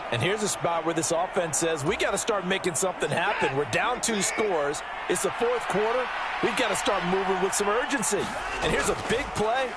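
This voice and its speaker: A man's voice: high voice, moderate pace, heavy background noise, natural voice. A man with an American accent playing a chatty, opinionated color commentator in a football video game, conversational and emphatic.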